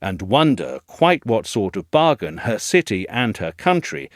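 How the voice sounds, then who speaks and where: A man reading a scripted essay as narrator, in a measured, articulate storytelling delivery, recorded close up. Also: lowish voice, big pitch swings, no room sound.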